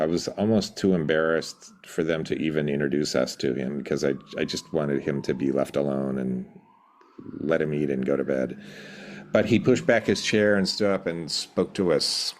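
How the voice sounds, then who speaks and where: A middle-aged man reminiscing casually as an interview guest, reflective and halting, often breaking off mid-word. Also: a bass voice, slight background noise. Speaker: podcast guest, musician.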